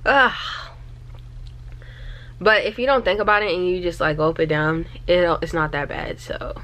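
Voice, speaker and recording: A woman's voice: fairly low pitch, steady tone, measured pace, a bit bright. A young woman in her twenties, chatting casually to camera in her small bedroom, candid and loose with plenty of fillers. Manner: chatty, candid, lots of fillers and trailing thoughts. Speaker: vlogger. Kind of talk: casual vlog talk to camera.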